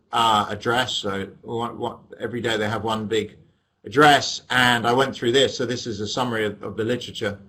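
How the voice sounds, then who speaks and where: A middle-aged man with an English accent lectures over a webcam microphone. His pitch sits in the middle of the male range, and his talk is loose and conversational, with self-deprecating jokes mixed into the explanation. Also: sharp tone, low-quality recording. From England. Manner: chatty, self-deprecating, joking asides with laughs. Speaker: economics lecturer.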